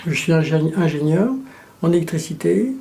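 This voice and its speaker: Middle-aged guy, gravelly and a bit high, slow thoughtful interview answers, faint noise behind. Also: mellow, rough recording. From Morocco, raised in France. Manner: measured, thoughtful, repeats words while searching. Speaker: electrical engineer interviewee. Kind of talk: reflective interview talk.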